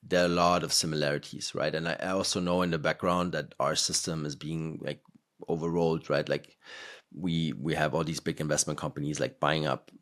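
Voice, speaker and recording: Podcast host, man, extremely low voice, loose and rambling, filler-heavy casual talk. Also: fairly even intonation, a little fast, a bit bright. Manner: rambling, filler-heavy, laughs between points. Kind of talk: casual conversation.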